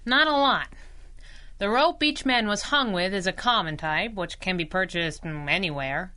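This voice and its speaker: An actress playing a plucky, wisecracking young sidekick in a 1930s-style radio drama, with a brisk, wry, eager manner. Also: female voice, medium pitch, bright, forceful tone, close to an everyday voice. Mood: businesslike.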